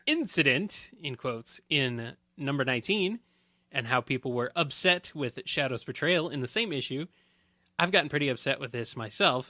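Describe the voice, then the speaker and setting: Bright-voiced man reading questions aloud. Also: medium pitch, no room sound, clean studio sound. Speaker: question reader.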